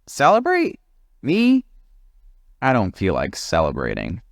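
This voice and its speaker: A man's voice: fairly low pitch, huge pitch swings, slow pace, vocal fry, dry recording, clean studio sound, pitched above the performer's own voice, full character voice. A man voicing a grumpy, complaining monkey in a storybook, whiny and exasperated. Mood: grumpy refusal.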